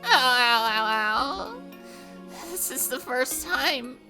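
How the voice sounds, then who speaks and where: A woman voicing a gentle, anxious girlish deity who calls out softly and pleadingly. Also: high voice, lively intonation, music in the background, voice pushed up, exaggerated voice. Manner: soft, pleading, drawn-out calls.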